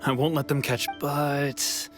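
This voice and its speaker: A man's voice: mid-range voice, little pitch movement, slow pace, music in the background, natural voice. An actor playing a weary, regretful man who has come back home, speaking in a subdued, sighing manner. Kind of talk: game voice acting.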